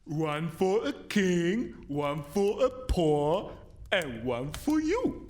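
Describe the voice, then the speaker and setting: A man playing a huge bossy enchanted giant in a storybook radio play, calling out loud, drawn-out commands. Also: on the high side, very animated, exaggerated voice.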